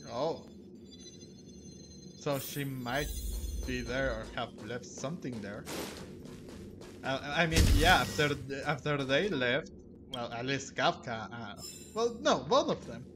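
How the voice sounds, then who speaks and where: A man's voice: mid-range voice, lively intonation, slow pace, long pauses. A man giving casual let's-play commentary, answering the game's dialogue in a hesitant, rambling way. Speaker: gaming video creator. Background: game music.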